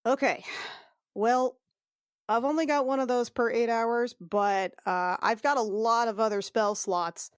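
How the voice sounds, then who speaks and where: A woman's voice: fairly high pitch, fairly even intonation, unhurried, smooth, no room sound, clean studio sound, close to an everyday voice. Woman playing a blunt, casual priestess healer. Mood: matter-of-fact. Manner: blunt, rambling, informal.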